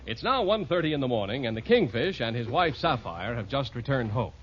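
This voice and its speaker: A man's voice: mid-range voice, sing-song, brisk, slightly rough. A man announcing a sponsored radio show around 1950 in an emphatic, salesmanlike way. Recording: muffled old radio with loud music.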